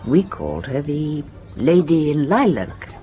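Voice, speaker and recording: Low-toned, very expressive detective's wife. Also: female voice, a little slow, faint music behind. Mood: observant. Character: middle-aged inspector's wife, observant, frank, companionable. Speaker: actor playing the inspector's wife. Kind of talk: radio drama acting.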